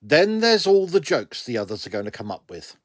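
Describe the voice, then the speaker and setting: Man acting young woman paramedic, British accent, wounded, wry, stubborn, first-person audiobook, lively intonation, dry recording. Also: fairly high pitch, a little fast, dry recording. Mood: pained, wry. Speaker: author reading own story. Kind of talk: dramatic audiobook narration.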